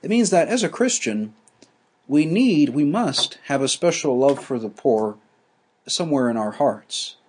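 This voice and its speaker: A man teaching a class, explaining ideas slowly with frequent restarts and fillers. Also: fairly high pitch, animated, a little hiss or hum. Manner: halting, many restarts and fillers.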